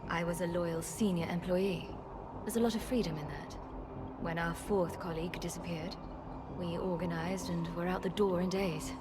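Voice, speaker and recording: A woman playing an earnest, composed scientist in a sci-fi video game, speaking in a measured, articulate way. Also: fairly low pitch, fairly even intonation, background noise, natural voice. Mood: reflective. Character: earnest, composed adult woman scientist, former research physicist.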